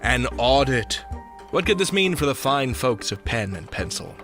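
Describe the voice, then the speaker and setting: An actor playing a melodramatic serial announcer, reading a scripted teaser. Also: male voice, medium pitch, sing-song, moderate pace, fairly bright tone. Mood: suspenseful. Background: music.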